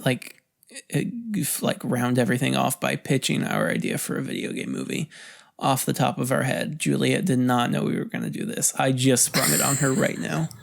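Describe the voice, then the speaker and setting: Very creaky, offhand casual podcaster. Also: medium pitch, level tone, normal speed. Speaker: podcast co-host. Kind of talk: casual, rambling banter.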